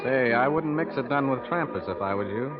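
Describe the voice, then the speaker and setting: An actor playing a chummy, breezy American cowboy sidekick in an old-time radio western. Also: male voice, mid-range voice, lively intonation, brisk, music in the background, natural voice. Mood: matter-of-fact. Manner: folksy, breezy. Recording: dull vintage radio broadcast.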